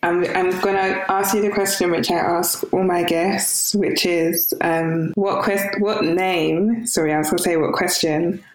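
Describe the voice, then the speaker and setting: A woman hosting a podcast, asking questions in a relaxed, hesitant way. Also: fairly low pitch, unhurried, hardly any pauses, roomy sound, soft background music, poor audio. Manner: relaxed, hesitant with fillers and restarts. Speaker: podcast host. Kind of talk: casual interview chat.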